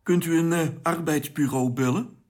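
A man's voice: fairly high pitch, moderate pace, darkish tone, faint music behind, natural voice. An actor playing a put-upon crewman of a space expedition in a radio drama, hesitant, sardonic and given to complaint.

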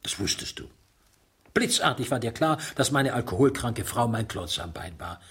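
An actor playing a world-weary middle-aged widower in a radio drama, husky, blunt and sardonic, suspicious yet gruffly consoling. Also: male voice, mid-range voice, animated, moderate pace, fairly bright tone, exaggerated voice. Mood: suspicious, accusing. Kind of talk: German radio drama acting, naturalistic dialogue.

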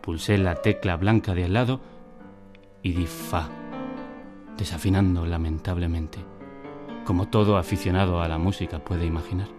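A man's voice: low voice, steady tone, moderate pace, pauses often, over music, close to an everyday voice. An actor playing a man who recounts his boyhood in wry, literary radio drama narration. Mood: wry, nostalgic. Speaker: first-person narrator.

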